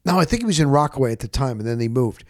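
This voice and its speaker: A middle-aged man telling stories casually as a sportscaster, rambling and candid, with animated, salty talk and repeated phrases for emphasis. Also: mid-range voice, very fast, no room sound, studio quality. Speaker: sportscaster and podcast host.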